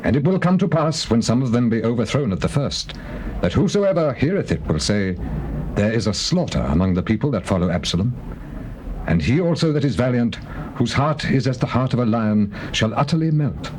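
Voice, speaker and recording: An actor playing a shrewd royal counselor in dramatized scripture, oratorical and emphatic, on a hissy old cassette. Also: male voice, medium pitch, expressive, a little fast, a little mellow, close to an everyday voice. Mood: grave, persuasive.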